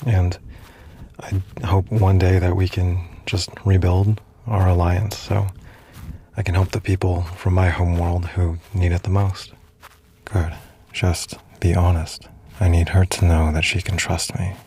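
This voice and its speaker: An actor playing an awkward, earnest space commander who stammers and mutters nervously to himself in an audio drama. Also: male voice, level tone, unhurried, warm tone, exaggerated voice. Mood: earnest, careful. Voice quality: low, gravelly. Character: awkward, earnest space commander, self-deprecating emissary, smitten. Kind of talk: immersive first-person audio drama.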